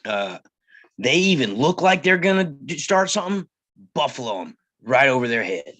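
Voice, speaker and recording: A man acts out a reluctant, cautious frontier lawman at a table read. The voice is bright and forceful at a middle pitch, with a halting, plain-spoken delivery and a weary temper. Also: big pitch swings. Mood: tense, commanding. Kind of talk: live screenplay table read.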